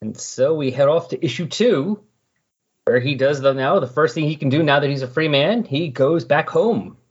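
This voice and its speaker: A man's voice: on the high side, moderate pace, slightly rough. A man hosting a podcast in casual chat, rambling and correcting himself as he recaps, on a dull, lo-fi recording. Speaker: podcast host. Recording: dull, lo-fi sound.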